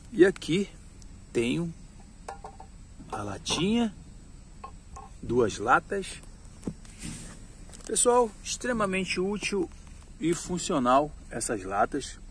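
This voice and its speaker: A man in his thirties showing his gear outdoors in a casual vlog, talking slowly and repeating himself to viewers. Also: fairly high pitch, a little hiss or hum. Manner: slow, repetitive, chatty, addressing viewers as "pessoal". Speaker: motorcycle travel vlogger. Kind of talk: casual show-and-tell vlog. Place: outdoors by a roadside. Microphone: action camera on the ground.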